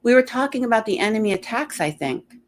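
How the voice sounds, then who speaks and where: A woman's voice: a little high, lively intonation, fast, a little hiss or hum, voice pushed up, lightly acted. A woman voicing an earnest angel mother, a hopeful and persuasive peacemaker in a fantasy story.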